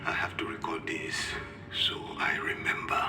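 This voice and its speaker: A man playing a haunted, troubled character recording a game audio log in a halting, breathy whisper. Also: extremely low pitch, monotone, reverberant. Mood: shaken, confused. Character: haunted man recording memory of his nightmare.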